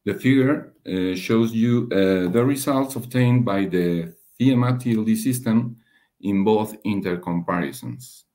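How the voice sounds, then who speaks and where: Slow, halting low-voiced male presenter. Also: mellow. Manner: halting, hesitant, frequent long hesitations and rephrasing. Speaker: webinar presenter, radiation dosimetry researcher. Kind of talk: technical slide presentation.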